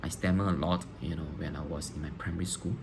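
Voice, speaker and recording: A man, a teacher answering interview questions on video, pausing often with ums and restarting phrases. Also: rumbling low, fairly even intonation, gravelly. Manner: hesitant, frequent ums and restarts. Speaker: teacher applying for a job. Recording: noisy background hiss.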